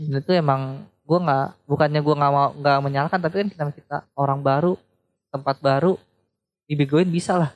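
A man's voice: a little high, steady tone, fairly quick. A young man in casual chat, joking and laughing often.

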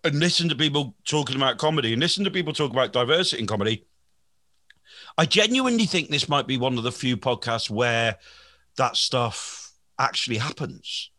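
A man's voice: mid-range voice, expressive, fairly quick, pushed and bright, dry recording, clean studio sound. A man in his forties, a comedian hosting a podcast, chatting in a rambling, self-deprecating way with frequent restarts. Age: 40s. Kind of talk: casual podcast chat.

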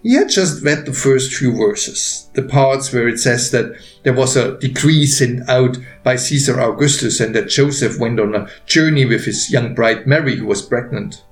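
A man narrating a written story in a deliberate, expressive way with pauses, as an author reading his own work. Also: mid-range voice. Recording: roomy echo, faint music behind.

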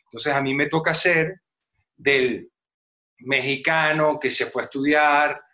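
Un hombre venezolano con acento venezolano, actor invitado en una charla de podcast, que explica con detalle y de forma animada. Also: male voice, fairly high pitch, measured pace, pushed and bright. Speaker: actor guest. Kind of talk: casual podcast interview.

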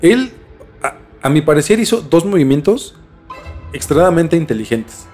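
A Mexican man with a slightly high-pitched voice explains things in a casual podcast chat. He is informal and often repeats words to stress them. Faint music plays behind him. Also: big pitch swings, normal speed, darkish tone. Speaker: podcast co-host explaining a case.

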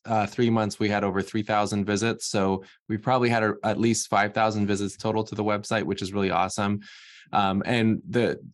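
Give me an earnest man from Massachusetts with a fairly low voice, recorded dry and close. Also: dry recording. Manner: earnest, thinks aloud with restarts. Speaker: library guest. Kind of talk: casual panel talk.

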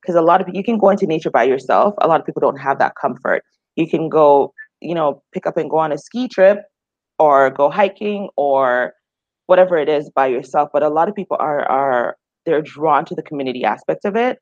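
A woman's voice: sits low, fairly quick, no room sound. Chatty woman from Jamaica, dry sound. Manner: relaxed, chatty, frequent fillers. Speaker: guest, outdoor group founder. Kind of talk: casual conversational interview answers. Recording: dry, slightly dull remote audio.

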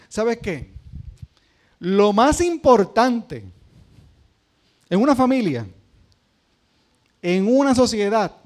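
A man's voice: high-pitched, a little mellow. A man with a Puerto Rican accent preaching a sermon as pastor, talking to the congregation in a conversational way and asking them questions. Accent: Puerto Rican Spanish. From Puerto Rico.

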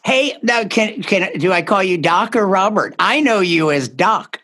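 A man raised in Texas talks as an interview guest, his pitch swinging widely as he jokes. He keeps the chat light and quick to laugh, with a relaxed, conversational delivery. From Texas, USA. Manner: animated, jokey, laughs easily. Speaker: guest comedian.

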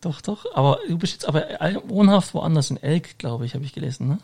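A man's voice: on the high side, expressive, fairly quick, creaky, a little mellow, slightly rough. A man hosting a casual interview podcast, rambling along with fillers and restarts. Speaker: podcast host.

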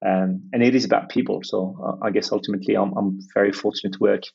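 A man's voice: lowish voice, little pitch movement, fast talker, gravelly, darkish tone, slightly rough, dull audio. A man from France, talking in a reflective, hesitant way as a podcast guest, with many restarts and fillers. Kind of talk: reflective conversational interview.

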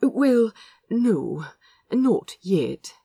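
A woman's voice: fairly high pitch, very animated, extremely slow, creaky, mellow, breathy edge, no room sound, studio quality, pitched above the performer's own voice, exaggerated voice. A woman voicing a fussy, well-mannered prince who talks in a prim, nervous, wordy rush, as in an audiobook. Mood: flustered, excited.

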